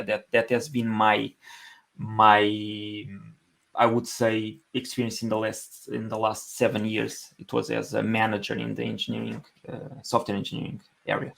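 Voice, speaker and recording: A man from Portugal with a Portuguese accent, giving conversational interview answers as a podcast guest, halting and repeating words as he goes. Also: fairly low pitch, vocal fry. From Portugal, Lisbon area. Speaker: podcast guest, engineering manager.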